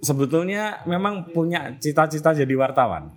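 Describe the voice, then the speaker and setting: A man's voice: fairly high pitch, lively intonation, normal speed. Guy interviewing a friend, teasing, chatty, laughs a lot. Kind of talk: casual interview chat.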